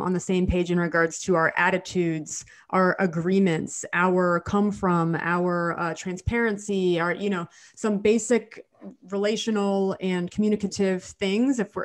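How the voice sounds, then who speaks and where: A woman in her thirties co-hosting a podcast from home, a little nasal from a cold, chatty with frequent restarts. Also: medium pitch. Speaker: podcast co-host, dental hygienist. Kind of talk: casual podcast chat. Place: home living room. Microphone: studio mic on video call.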